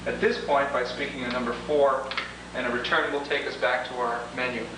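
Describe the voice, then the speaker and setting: A man giving a measured, slightly formal, explanatory demonstration of computer software, as a product demonstrator. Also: mid-range voice, flat delivery. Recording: distant, echoey, noisy 1980s demo tape with hum.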